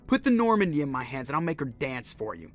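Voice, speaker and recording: A man's voice: mid-range voice, sing-song, fast talker, lightly acted. A man playing a cocky, sarcastic young starship pilot in a video game, with a brash, defensive manner. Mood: dry, sardonic. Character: cocky, wisecracking male starship pilot with brittle bones.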